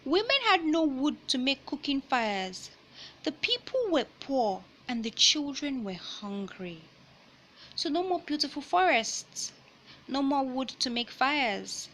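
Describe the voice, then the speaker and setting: A woman reading a children's picture book aloud in a deliberate, teacherly way, pausing to explain and repeat key points. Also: high-pitched, very animated, fairly bright tone, a little hiss or hum. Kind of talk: read-aloud storytelling with explanatory asides.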